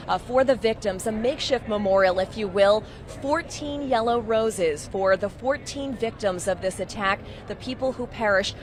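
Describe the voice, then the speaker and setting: A woman working as a TV news reporter, delivering a live field report outdoors on a city street. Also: mid-range voice. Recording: outdoor street noise, traffic.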